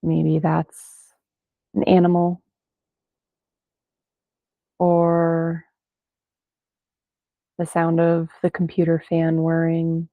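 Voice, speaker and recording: A woman leading a guided meditation in gentle, unhurried phrases with long pauses between them. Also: low-pitched, completely flat delivery, vocal fry, no echo. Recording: band-limited, phone-like sound, dry.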